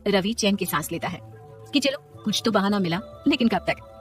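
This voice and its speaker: A woman's voice: medium pitch, lively intonation, moderate pace, soft background music. A woman narrating a scripted story in a dramatic storytelling manner.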